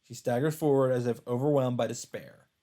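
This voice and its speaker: A man's voice: medium pitch, steady tone, a little fast, warm tone. A man reading visual novel narration aloud as a let's player, in a scripted storytelling style. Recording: music behind the voice. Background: game music.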